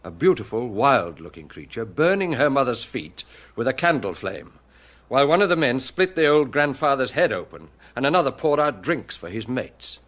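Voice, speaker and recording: A relentless police detective in a radio drama. His pitch is middling but swings widely as he presses on, deliberate and sardonic, with a hard edge. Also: big pitch swings, fairly bright tone. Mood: grim, pressing. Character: relentless, hard-edged male police detective interrogating a suspect.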